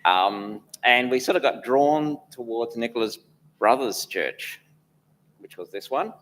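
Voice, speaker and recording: A man's voice: medium pitch, expressive, a little slow, a bit bright, poor audio. A man in his fifties with a mixed, mostly Australian accent, the chair of a church council, answering questions with rambling dry humour. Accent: mixed, Australian with traces of places lived. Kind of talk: interview answers.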